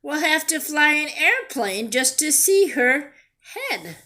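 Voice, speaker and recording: A woman's voice: high voice, big pitch swings, slow, sharp tone, pitched above the performer's own voice, exaggerated voice. A woman voicing mocking giraffes in a children's storybook, with sing-song taunting. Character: herd of mocking giraffes, teasing storybook animals.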